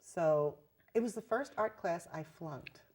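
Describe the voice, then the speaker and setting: An older woman with a lowish voice tells her stories in an easy, chatty way. She talks as an interview guest and puts a little color into the people she describes. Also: a little slow. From New York. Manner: chatty storyteller, animated quoting. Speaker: guest artist. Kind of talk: conversational interview.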